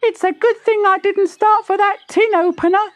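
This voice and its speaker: A woman voicing an indignant, suspicious baby boy tortoise in a squeaky voice for a children's storybook. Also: fairly even intonation, normal speed. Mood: gleeful, accusing. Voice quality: squeaky, thin child-like pitch.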